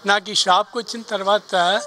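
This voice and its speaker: A man giving a spontaneous testimonial in an interview, halting and switching into English. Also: sits high, steady tone, sharp tone, faint music behind.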